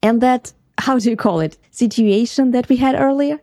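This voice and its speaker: A woman's voice: on the high side, moderate pace, mellow, no echo, clean studio sound. A woman from Ukraine with a Ukrainian accent, hosting a podcast and earnestly telling a first-person travel story. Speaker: podcast host.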